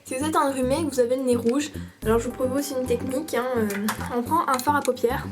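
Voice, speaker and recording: A woman with a cold chats through a makeup tutorial. Her voice sits a little high, and she pauses constantly with fillers while keeping a mock-serious, playful tone. Music plays clearly in the background. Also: fairly even intonation. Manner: hesitant, many fillers, playful parody tone. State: stuffy with a cold. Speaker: makeup vlogger.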